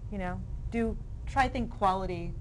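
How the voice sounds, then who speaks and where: A woman's voice: mid-range voice, moderate pace, a little hiss or hum. A middle-aged woman chatting as an interview guest, often restarting her sentences as she thinks aloud. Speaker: interview guest, playwright and theater director. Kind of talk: casual interview chat.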